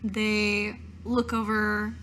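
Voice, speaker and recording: A woman's voice: mid-range voice, little pitch movement, very slow, fairly bright tone, a little hiss or hum. A woman playing a tabletop game, casually describing her character's actions and voicing them.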